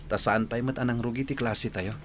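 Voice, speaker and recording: A man's voice: medium pitch, fairly even intonation, a little fast, natural voice. A man playing a polite, nervous young suitor in a radio drama, with a Filipino accent and an earnest, hesitant manner. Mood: friendly, eager.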